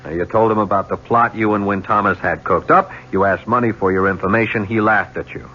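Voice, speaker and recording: An actor playing a dogged, brusque homicide lieutenant in a 1970s radio drama, questioning like a seasoned interrogator. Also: male voice, on the low side, steady tone, brisk, a little hiss or hum, natural voice. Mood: pressing, accusing. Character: dogged, hard-nosed homicide police lieutenant. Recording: dull vintage radio broadcast.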